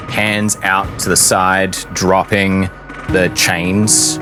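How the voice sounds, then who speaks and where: A man narrating a tabletop role-playing game as game master, in a dramatic, deliberate storytelling manner. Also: low voice.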